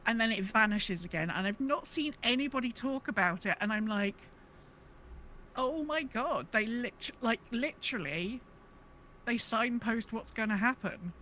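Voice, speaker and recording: A woman's voice: a little high, expressive, unhurried, pushed and bright, a little hiss or hum. A woman co-hosting a podcast, chatting casually and animatedly about an online game. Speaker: podcast co-host.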